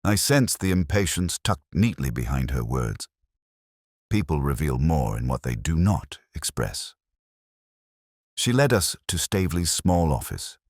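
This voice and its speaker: A man playing a melancholy, introspective detective who narrates his memories in a measured, reflective literary style. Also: a bass voice, very animated, breathy edge, dry recording, studio quality, exaggerated voice. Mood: brooding, watchful. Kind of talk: slow literary first-person audio drama narration.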